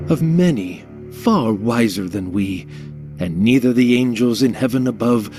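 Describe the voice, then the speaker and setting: A man giving a dramatic poetry recitation in a solemn, theatrical, measured way. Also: mid-range voice. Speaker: audiobook narrator reciting a poem. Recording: music under the voice. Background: music.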